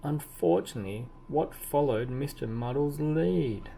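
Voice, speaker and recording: A man reading a children's story aloud expressively, with deliberate emphasis and drawn-out key words. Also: medium pitch, animated, mellow, low-quality recording. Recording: clearly audible background noise.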